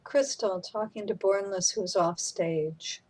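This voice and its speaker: A woman's voice: medium pitch, slow pace, darkish tone. A woman narrating scripted stage directions for a radio drama.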